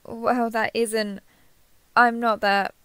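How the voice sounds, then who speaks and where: A woman's voice: a little high, flat delivery, takes it slowly, bright, forceful tone, smooth, dry recording. A woman playing a kindhearted, awkward young woman who gets easily flustered, speaking hesitantly and earnestly in an intimate roleplay audio drama.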